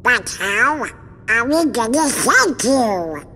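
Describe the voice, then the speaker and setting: An actor voicing an excitable male cartoon duck with a buzzing, garbled squawk in a video game. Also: extremely high pitch, slow pace, long reverb tail, a little hiss or hum, full character voice. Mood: puzzled. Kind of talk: video game cutscene acting.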